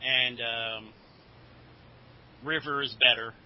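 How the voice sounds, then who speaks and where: A man's voice: medium pitch, fairly even intonation, slow, slight background noise. Dull-sounding recording of a chatty man. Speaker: podcast co-host. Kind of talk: casual chat about comics. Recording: dull, lo-fi podcast audio.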